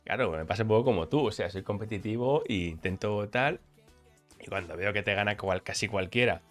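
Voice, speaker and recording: A man's voice: fairly low pitch, fairly quick, soft background music. A man in his forties with a Spain Spanish accent, hosting a casual, colloquial interview at his gaming desk with a headset mic. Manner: friendly, colloquial, reads chat aloud. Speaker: streamer host. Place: gaming setup room, indoors.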